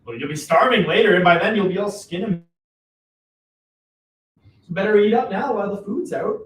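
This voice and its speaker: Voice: mid-range voice, moderate pace, natural voice. An actor in a dramatic play reading as Joe, a cajoling, exasperated older father with a folksy, repetitive way of talking, recorded at a distance in a roomy space. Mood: coaxing. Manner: wheedling, folksy, repeats himself. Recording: roomy, distant mic, faint noise.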